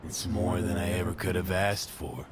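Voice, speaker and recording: An actor playing a gruff, laid-back rebel man with a tender side in a video game, low-key and terse. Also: little pitch movement, normal speed. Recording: clear ambient noise behind.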